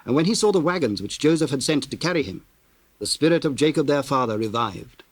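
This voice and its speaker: A man narrating dramatized scripture in a measured, solemn and formal manner. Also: mid-range voice, lively intonation, no echo.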